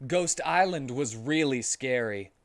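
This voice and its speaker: A man reading amateur fiction aloud as a streamer, in a mock-serious, animated comic style. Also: medium pitch, measured pace, dry recording.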